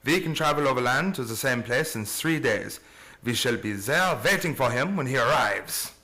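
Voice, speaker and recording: An actor playing an urgent, commanding vampire-hunting doctor in a radio drama, with formal, insistent phrasing. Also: male voice, medium pitch, animated, normal speed, bright, forceful tone. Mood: determined. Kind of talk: old-time radio drama acting.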